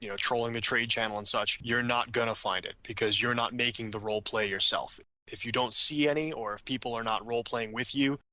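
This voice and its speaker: A man's voice: mid-range voice, sharp tone, no room sound. A man on a podcast panel giving casual advice in an emphatic, conversational way.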